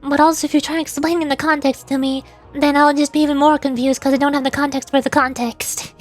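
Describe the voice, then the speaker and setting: A high-pitched woman game streamer who slips between casual chat and reading lore aloud. She comes across as giggly, easily puzzled and self-deprecating, with game music playing faintly behind her. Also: flat delivery, fast.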